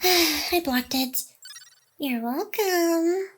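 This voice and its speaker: A woman playing a high-voiced, teasing AI hacker girl. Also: very slow, a bit bright, faint music behind, exaggerated voice. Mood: teasing, feigned indignation. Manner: teasing, mock-aloof, sighing, addressing the listener directly. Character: playful, teasing female AI hacker girl, secretly smitten and protective. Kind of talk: ASMR roleplay, intimate one-sided scripted drama.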